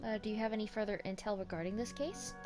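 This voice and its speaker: A woman's voice: medium pitch, fairly even intonation, fast. Casual woman game streamer over music. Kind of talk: casual game reaction chat.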